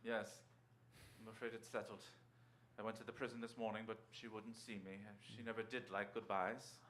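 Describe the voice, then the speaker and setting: A man's voice: on the low side, flat delivery, normal speed, a bit bright, slight background noise, close to an everyday voice. An actor playing a tense, evasive husband in a radio drama, clipped and guarded as he protests. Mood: guarded, resigned. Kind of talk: stage radio drama acting.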